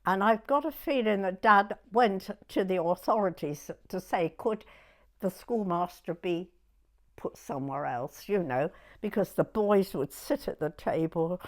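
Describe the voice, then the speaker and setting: A woman in her nineties with a southern English accent, reminiscing in an unhurried, halting way in short broken phrases. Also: medium pitch, animated. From southern England. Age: 90s. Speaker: oral history interviewee. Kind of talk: personal reminiscence in conversation.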